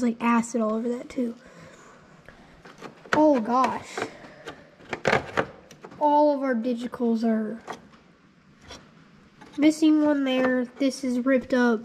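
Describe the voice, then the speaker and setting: A woman's voice: sits high, a little mellow, a little hiss or hum. A woman hosting a casual walkthrough vlog, thinking aloud in a rambling, unsure way with frequent ums. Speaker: channel host.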